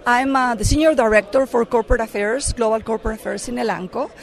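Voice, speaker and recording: Middle-aged woman from Mexico, Mexican accent, fairly high voice, earnest interviewee who pauses and restarts. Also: gravelly. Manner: earnest, with frequent fillers and restarts. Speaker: corporate affairs director. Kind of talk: conference interview answers.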